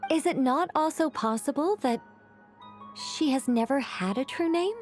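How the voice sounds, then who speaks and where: A woman playing a wise, calm companion who speaks thoughtfully and gently guides others in a game cutscene. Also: high voice, a little slow, soft background music. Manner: thoughtful, reflective, gently guiding.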